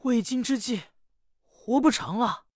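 A woman's voice: mid-range voice, expressive, measured pace, no room sound, clean studio sound, voice pushed up, close to an everyday voice. A woman voicing a frightened, tearful young noble lady who pleads with wailing cries in a traditional storytelling performance. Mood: panicked.

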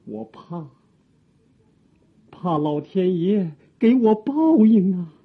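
A woman acting an aging, servile, guilt-ridden palace eunuch in an audio drama, with a thin, husky voice and halting, sighing, theatrical delivery. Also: on the low side, sing-song, narrow, tinny audio, heavily acted. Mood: remorseful, weary.

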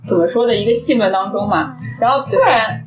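A woman's voice: on the high side, fairly quick, crowd noise, poor audio. A woman co-hosting a casual film chat, conversational and inclined to retell scenes with quoted dialogue. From Shanghai, China. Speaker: podcast co-host. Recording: music playing behind the talk. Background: background music.

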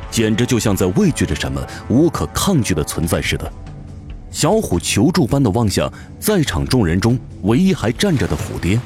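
A man's voice: lowish voice, a little mellow. A man giving dramatic scripted narration of a fantasy audio novel. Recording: music behind the voice. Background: music.

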